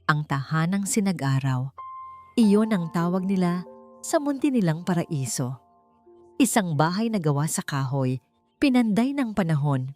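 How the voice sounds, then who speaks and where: A woman from the Philippines narrating a dramatic love story in a calm, measured, emotive way. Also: fairly low pitch. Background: faint background music.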